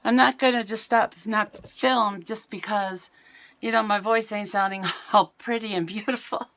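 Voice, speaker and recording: A middle-aged American woman with a raspy voice, chatting casually to camera in a home vlog. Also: mid-range voice, fairly even intonation, a little slow, fairly bright tone. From United States. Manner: chatty, rambling, self-mocking. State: raspy voice today. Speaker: vlogger. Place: home, indoors.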